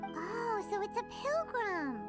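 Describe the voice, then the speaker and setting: A woman voicing a tiny, cheeky, childlike flying companion in a video game, with a squeaky, cute, outspoken delivery. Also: sing-song, slow pace, loud music behind the voice, exaggerated voice. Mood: surprised, cheeky. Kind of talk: video game character acting.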